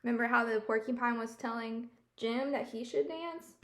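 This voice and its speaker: A woman's voice: fairly high pitch, normal speed, a bit bright. A woman storyteller reading a picture book aloud, expressively explaining and asking young listeners questions.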